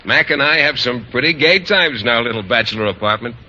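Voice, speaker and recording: An actor playing a shy, earnest bachelor biology teacher in an old radio sitcom, naive and a little hesitant. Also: male voice, mid-range voice, animated, fast, pushed and bright. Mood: bashful, earnest. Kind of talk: 1940s-50s radio sitcom acting. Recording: muffled vintage radio, light noise.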